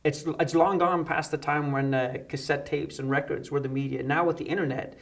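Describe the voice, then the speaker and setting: A middle-aged man from the United Kingdom chats as an interview guest. His voice sits a little high for a man and moves along quickly. He tells his stories conversationally, often acting out what was said. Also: fairly even intonation, creaky. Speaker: interview guest, electronic musician. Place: dark indoor studio set, seated.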